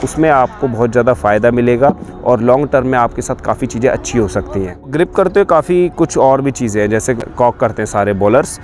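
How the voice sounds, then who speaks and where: A man's voice: mid-range voice, fast talker, hardly any pauses, a little mellow. A man in his thirties, a cricket coach, explaining bowling grips step by step outdoors on a lapel mic. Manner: explains step by step while showing a ball grip. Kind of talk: spoken coaching tutorial. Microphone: lapel mic on camera facing him.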